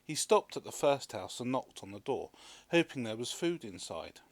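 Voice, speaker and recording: Animated male storybook reader, dry sound. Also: medium pitch, moderate pace, dry recording. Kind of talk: expressive scripted story narration.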